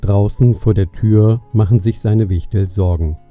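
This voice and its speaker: A man's voice: fairly low pitch, unhurried, mellow, faint music behind. A man narrating a scripted Christmas story for a family audio play.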